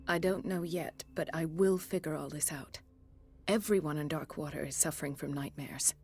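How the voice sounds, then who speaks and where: An actress playing a composed, caring and determined hospital doctor in a video game, with a measured clinical delivery. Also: female voice, deep voice, a little mellow, slightly rough. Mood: concerned. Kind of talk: video game cutscene acting.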